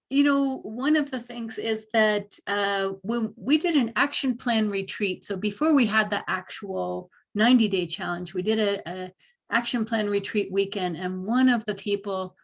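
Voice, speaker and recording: Upbeat woman hosting an online panel. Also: mid-range voice. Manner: upbeat, chatty, laughs often. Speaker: host.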